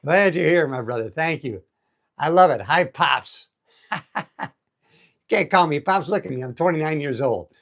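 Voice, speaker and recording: A man's voice: fairly high pitch, animated, unhurried, long pauses, fairly bright tone. A man in his sixties hosting a casual motivational livestream outdoors on an island, upbeat and chatty as he greets viewers. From United States. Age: 60s. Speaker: livestream host. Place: outdoors on an island in heavy rain. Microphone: phone held in vertical mode.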